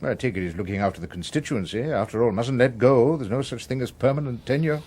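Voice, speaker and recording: An actor in a radio drama playing a shrewd, wary senior Scottish politician with a dry, blustery manner. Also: male voice, medium pitch, fast talker, a little mellow, slight background noise, lightly acted. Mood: dryly cagey.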